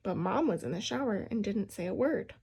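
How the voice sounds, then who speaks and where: A woman reading a children's picture book aloud in an expressive storytelling style. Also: medium pitch, brisk, vocal fry, slightly rough. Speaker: storybook reader.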